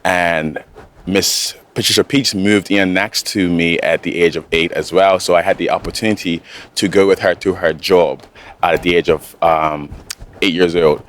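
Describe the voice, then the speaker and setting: A man's voice: sits low, fairly even intonation, normal speed. A young man with a Bermudian accent giving informal interview answers as an air traffic controller. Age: young adult.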